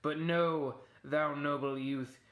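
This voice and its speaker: A man voicing the solemn, suffering ghost of a murdered king in a Shakespearean puppet show, declaiming in drawn-out phrases. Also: on the high side, fairly even intonation, a bit bright, no room sound. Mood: solemn, bitter.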